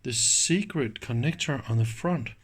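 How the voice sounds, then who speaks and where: Slow-paced man thinking aloud. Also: mid-range voice, slightly rough. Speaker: host of an electronics teardown video. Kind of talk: casual hands-on commentary. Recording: clicks and faint background noise.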